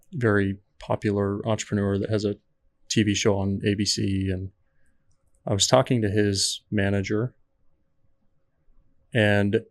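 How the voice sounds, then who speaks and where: A man hosting a podcast who asks probing questions in a measured, conversational way. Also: fairly low pitch, no room sound, studio quality. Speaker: podcast host. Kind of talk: interview questions. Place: podcast studio.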